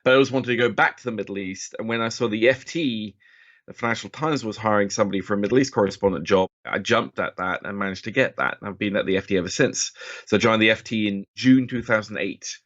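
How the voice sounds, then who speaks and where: A man's voice: fairly low pitch, highs cut off. A man in his forties from Norway telling his story casually as an interview guest, enthusiastic and self-deprecating. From Oslo, Norway. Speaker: podcast guest, financial journalist.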